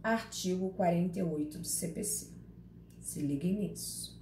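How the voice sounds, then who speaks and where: A woman's voice: on the low side, unhurried. Woman, Carioca accent, law lecturer, chatty and teasing, echoey room, faint background noise. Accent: Carioca Portuguese. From Rio de Janeiro, Brazil. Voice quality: chatty and expressive, teasing students by name. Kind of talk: live online class, reading chat questions.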